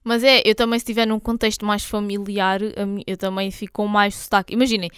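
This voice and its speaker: Young female co-host, light Algarve Portuguese accent, fast crisp delivery, slangy, talks straight to listeners. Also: mid-range voice, flat delivery, a bit bright, dry recording, clean studio sound. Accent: European Portuguese, light Algarve. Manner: slangy, addresses listeners directly. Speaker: podcast co-host. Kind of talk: casual chat.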